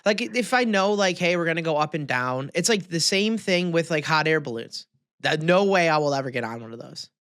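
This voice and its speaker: A man's voice: a little high, animated, a little fast, a bit bright, clean tone, dry recording, clean studio sound. An American man co-hosting a podcast, chatting casually and emphatically, often repeating himself for stress. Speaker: podcast co-host.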